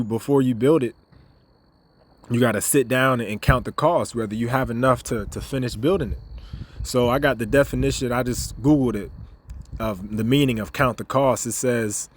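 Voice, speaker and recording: A man with a slightly raspy voice giving a casual religious teaching, rambling and repeating himself, then reading scripture aloud and growing more emphatic. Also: medium pitch. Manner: rambling, repeats words, many fillers; grows louder and more emphatic as he goes. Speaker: ministry member giving a teaching video. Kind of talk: informal sermon with scripture reading.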